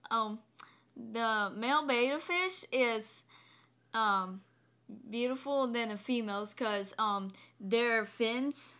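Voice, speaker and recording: Slow, hesitant woman with a deep voice. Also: fairly bright tone. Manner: hesitant, many ums and restarts, reads slowly. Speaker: vlogger reading viewer comments. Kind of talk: casual home video chat.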